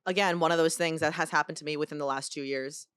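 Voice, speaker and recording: A young woman with a low, dry-sounding voice chats on a podcast. Chinese is her first language. She rambles through anecdotes with lots of fillers. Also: steady tone, quick pace, a bit bright, clean tone, dry recording. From United States. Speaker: podcast guest. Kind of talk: casual podcast chat.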